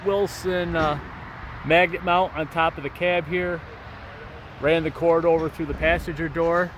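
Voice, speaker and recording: A man's voice: on the high side, fairly even intonation, unhurried, a bit bright, slight background noise. A man talking in a casual, rambling, plain-spoken way, like a truck driver vlogging from his cab. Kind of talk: casual rambling vlog chat.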